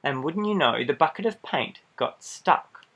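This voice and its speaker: A man reading a children's picture book aloud in an animated style, with dramatic pauses and playful asides to young listeners. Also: on the high side. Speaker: storybook reader.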